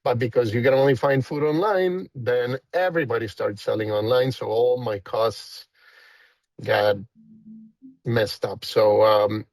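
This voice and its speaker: A man's voice: mid-range voice, unhurried, no echo, low-quality recording. A man in his fifties from Costa Rica with a thick Costa Rican Spanish accent, a guest chatting casually and rambling with many fillers. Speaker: guest, company founder. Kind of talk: casual interview chat.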